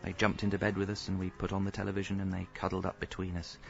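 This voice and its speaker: Low-voiced, hapless young husband. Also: male voice, monotone, fast talker, gravelly, lo-fi sound, faint music behind. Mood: wry narrating. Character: hapless, earnest, self-deluding young father and husband. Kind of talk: radio comedy acting with wry first-person narration.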